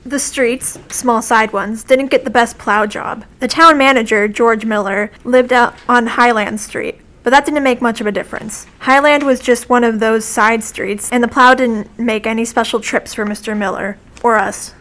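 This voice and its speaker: A woman's voice: fairly high pitch, fairly even intonation, moderate pace, hardly any pauses, fairly bright tone. A teenage girl, a student, reading written memories aloud in a plain way. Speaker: student reader.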